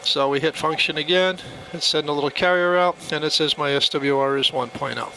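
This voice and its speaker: A man's voice: fairly high pitch, brisk, sharp tone, low-quality recording. A man giving a casual, plainspoken step-by-step tutorial as he demonstrates a device. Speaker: product demonstrator. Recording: faint background noise.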